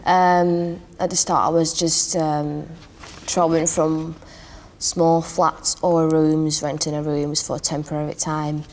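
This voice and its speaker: A woman's voice: deep voice, level tone, slow, a little mellow. A woman from Latvia with a Latvian accent, chatting hesitantly in a casual interview over faint background noise. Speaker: housing tenant in a peer interview.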